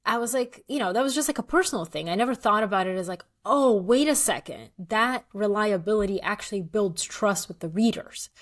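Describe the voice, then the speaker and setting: A woman's voice: mid-range voice, lively intonation, a little fast, hardly any pauses. A woman in her thirties, originally from Bulgaria, talking casually as an author guest, full of likes, ums and restarts, laughing often. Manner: chatty, laughs often, many fillers and restarts. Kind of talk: casual interview conversation.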